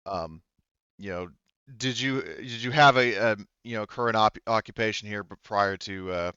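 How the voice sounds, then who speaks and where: A man voicing a courteous sheriff in a tabletop game, halting and official in an avuncular way. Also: on the low side, bright, forceful tone, clean tone, dry recording, lo-fi sound. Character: male sheriff, a civil marshal, folksy and formal.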